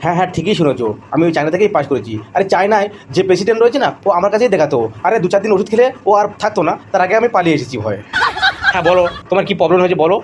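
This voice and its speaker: A man's voice: high voice, lively intonation, very fast, background noise. A man playing a boastful, blustering, crooked quack doctor in a comic cartoon. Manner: bragging, blustering.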